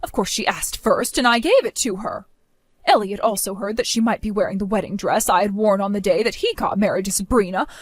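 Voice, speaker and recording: A woman voicing a stern, belittling middle-aged mother in an animated story, with a cold, scolding manner. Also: mid-range voice, fairly quick, dry recording, studio quality, pitched below the performer's own voice, natural voice. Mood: proud.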